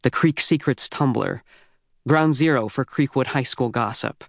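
An American man reads a first-person teen novel at a middle pitch. His delivery is youthful, wry and conversational, with a very dry, close studio sound. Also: measured pace, creaky, fairly bright tone, no room sound. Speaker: audiobook narrator.

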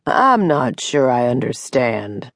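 A woman voicing a solemn young male doctor in an audiobook, calm, firm and deliberate. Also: extremely low pitch, no room sound, studio quality, heavily acted. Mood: puzzled. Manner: slow, deliberate. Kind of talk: audiobook character dialogue.

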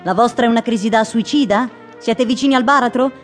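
Actress as composed, higher-voiced radio host woman. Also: soft background music, natural voice. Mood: brisk, professional. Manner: brisk, professional, placating. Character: composed, skeptical female radio phone-in host. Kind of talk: naturalistic radio drama acting.

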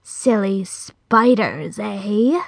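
A menacing female spider from a storybook, acted out by a woman narrator. She taunts in a ghostly, whispery hiss, drawing every word out very slowly. The sound is close and dry. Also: medium pitch, expressive, creaky, no room sound, exaggerated voice. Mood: slow menace. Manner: slow, drawn-out, menacing taunts.

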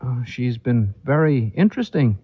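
A man voicing a brisk, cheerful middle-aged aunt who prattles on as a hostess in an audiobook story. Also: mid-range voice, big pitch swings, breathy edge, lo-fi sound.

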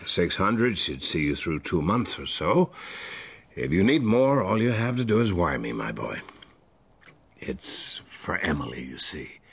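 Earnest middle-aged patriarch, low voice, lively swings of pitch, husky, courteous, radio drama. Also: male voice, a little slow, heavily acted. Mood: fatherly, earnest. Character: wealthy, fatherly older American businessman, courteous and earnest.

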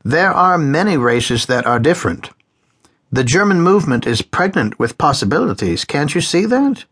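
A man's voice: a little high, sing-song, normal speed, fairly bright tone, breathy edge, voice pushed up, heavily acted. A man voicing an elderly male psychiatrist, who speaks in a cold, emphatic lecturing way, raising his voice above his own. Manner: pronouncing, emphatic.

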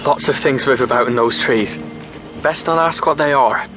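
An actor playing a hot-headed young village lad, rustic and indignant, in a radio drama. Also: male voice, medium pitch, normal speed, sharp tone, faint music behind, natural voice. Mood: grumbling. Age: young adult.

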